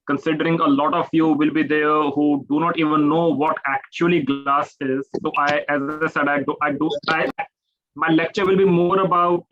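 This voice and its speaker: A man's voice: fairly high pitch, fairly bright tone. A man in his thirties with an Indian English accent, giving an informal online lecture with many fillers and restarts. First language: Hindi or Punjabi. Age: 30s. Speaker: guest lecturer. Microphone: video call. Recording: dull lo-fi video call audio.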